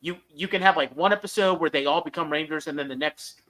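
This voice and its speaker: A man's voice: on the high side, fast talker, sharp tone, poor audio. A man co-hosting a podcast, giving an enthusiastic, opinionated fan review in a casual discussion.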